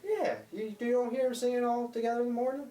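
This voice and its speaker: A woman's voice: a little high, fast talker, dark tone, slight background noise, close to an everyday voice. A woman playing an earnest, smitten young sweetheart boy in a 1940s American radio play, playful and a little bashful. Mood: bashful, devoted. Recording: roomy, distant mic, faint music.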